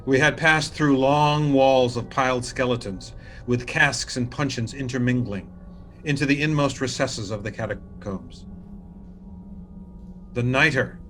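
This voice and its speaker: An actor playing a scheming, falsely solicitous avenger who narrates his deed in a formal, measured dramatic reading. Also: medium pitch, a bit bright, a little hiss or hum, close to an everyday voice. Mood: feigned concern. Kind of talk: theatrical dramatic reading of gothic fiction.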